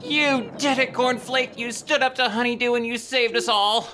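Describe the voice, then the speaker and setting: An actor voicing an excitable, cheeky young rabbit sidekick in a comic radio play, eager and wisecracking. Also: mid-range voice, normal speed, noisy background, lightly acted. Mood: eager, wry.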